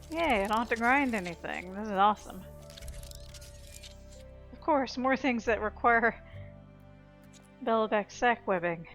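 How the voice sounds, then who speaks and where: A woman's voice: a little high, expressive, measured pace, long pauses, fairly bright tone. A woman doing casual let's-play commentary, chatting to herself as she plays. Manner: chatty, talks to herself while playing. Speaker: gameplay streamer. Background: video game music and sounds.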